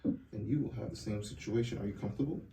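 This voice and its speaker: A man's voice: lowish voice, fast, far from the mic. A man working as sound engineer, giving casual instructions to performers. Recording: roomy, faint background noise.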